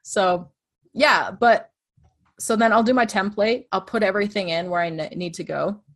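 A woman with a low-registered voice, chatting casually as she walks through her podcast process step by step. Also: normal speed. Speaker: true crime podcast host. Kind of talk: casual conversation.

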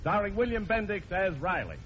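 A man's voice: sits high, animated, fairly quick, heavy background noise. On a muffled old broadcast, a male announcer delivers scripted narration in 1940s radio style. His declamatory, wry delivery rises and falls widely.

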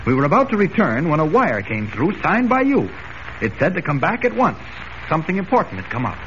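An actor playing an earnest, urgent newspaper reporter in brisk, emphatic 1940s radio style. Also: male voice, fairly high pitch, wildly swooping pitch, a bit bright. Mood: insistent, serious. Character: earnest, urgent male newspaper reporter with a secret hero identity. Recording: noisy, muffled old radio recording.

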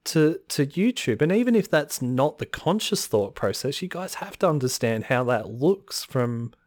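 A man on a podcast vents his frustration in a casual, rambling way, sighing between thoughts. His voice sits at an ordinary pitch and is a touch hoarse, recorded close and dry with no room sound. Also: big pitch swings, moderate pace, darkish tone, dry recording. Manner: frustrated ranting with sighs. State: slightly hoarse. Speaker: podcast co-host. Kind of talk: casual chat.

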